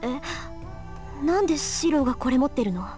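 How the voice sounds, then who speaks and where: A woman playing a bossy, sharp-tongued young female mage in an anime visual novel, brisk and assertive. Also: sits high, expressive, faint music behind. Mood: astonished.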